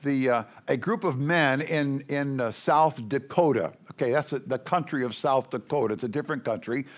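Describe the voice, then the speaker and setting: An older man preaching to a congregation in a loud, folksy, joking way, rambling with many fillers. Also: mid-range voice, normal speed.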